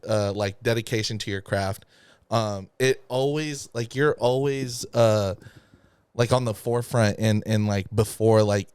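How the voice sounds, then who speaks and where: Podcast host guy, smooth clear voice, lots of ums, dry close mic. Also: on the low side, a little mellow, no room sound. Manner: slow, hesitant, many fillers. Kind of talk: interview hosting.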